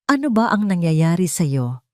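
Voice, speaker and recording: A woman's voice: fairly low pitch, very animated, unhurried, warm tone, no echo, studio quality. A woman voicing a stern, haughty mother who scolds her grown son in a hard, reproachful manner.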